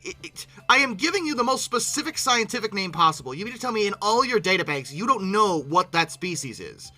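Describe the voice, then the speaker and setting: An exasperated commentator giving an informal, unscripted reaction, animated and often stumbling over repeated words in disbelief. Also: mid-range voice, faint music behind. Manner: exasperated, incredulous, animated with abrupt outbursts; halting, repeating words when flustered. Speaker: video creator hosting a reaction commentary.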